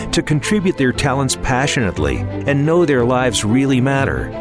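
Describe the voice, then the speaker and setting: A man reads a polished, scripted show opening with a lively, expressive delivery. His voice sits at a middle pitch for a man. Music plays clearly behind him. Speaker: show announcer. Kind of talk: scripted intro narration.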